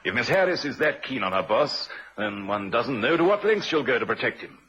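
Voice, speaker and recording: An actor playing a shrewd, courteous police inspector in a vintage radio drama, polite and probing. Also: male voice, mid-range voice, big pitch swings, brisk, sharp tone, husky, heavily acted. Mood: sly, suspicious. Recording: muffled vintage radio recording.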